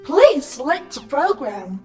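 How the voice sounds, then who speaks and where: A woman's voice: sits high, sing-song, slow pace, mellow, soft background music, heavily acted. A woman voicing a flirty, obliging virtual companion program in a comic sci-fi audio drama. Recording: echoey, distant from the mic.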